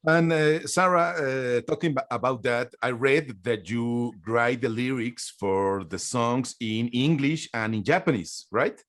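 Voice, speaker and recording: Male interviewer, non-native English speaker, halting delivery with many ums. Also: mid-range voice, a bit bright. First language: Spanish. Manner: hesitant, many filler sounds. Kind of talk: interview questions on a video call.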